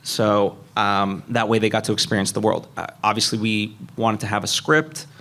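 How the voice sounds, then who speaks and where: A man's voice: fairly low pitch, flat delivery, normal speed, bright, forceful tone. A man speaking as a panelist at a live convention, methodically explaining things point by point. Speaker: panelist, indie animation filmmaker.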